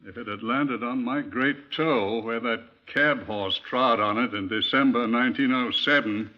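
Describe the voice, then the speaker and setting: Older British museum-curator inspector, male actor, mid-pitched, conversational and wry, dull vintage radio recording. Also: a little slow, close to an everyday voice. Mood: wry, chatty. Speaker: host. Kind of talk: 1950s radio drama storytelling.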